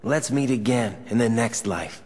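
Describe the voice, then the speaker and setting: An actor playing a cocky young rogue with a wistful streak in a video game, in a sardonic, drawling, dramatic manner. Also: male voice, medium pitch, lively intonation, a little slow, a little mellow.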